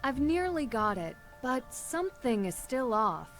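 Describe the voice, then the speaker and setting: A young female detective speaks in an unhurried, expressive voice. She sounds polite, composed and thoughtful, a video game heroine with faint music behind her. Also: sits high, full character voice. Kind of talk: video game character lines.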